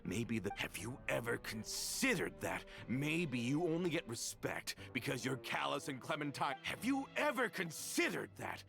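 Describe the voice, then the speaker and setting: An actor playing a bitter, vengeful traitor in a video game, gravelly and accusing, with theatrical menace. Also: male voice, sing-song, moderate pace, few pauses, faint music behind, heavily acted. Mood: bitter, enraged. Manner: accusing, theatrical, swinging from low menace to shouts. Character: bitter, vengeful man, a traitor to his guild. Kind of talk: dramatic video game villain acting.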